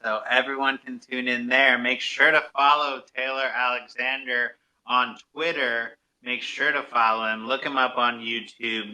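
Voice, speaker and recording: Male music radio host. Sharp, forceful tone, mid pitch, casual and excited hype delivery. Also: low-quality recording. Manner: enthusiastic, slangy. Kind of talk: casual hype, promoting a guest.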